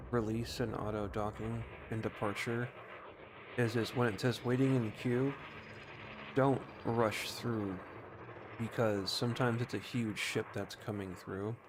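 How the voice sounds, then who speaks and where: A man's voice: fairly low pitch, fairly even intonation, unhurried. A man doing casual gameplay commentary, musing aloud and giving short voice commands. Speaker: gaming streamer. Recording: noisy, engine ambience behind voice.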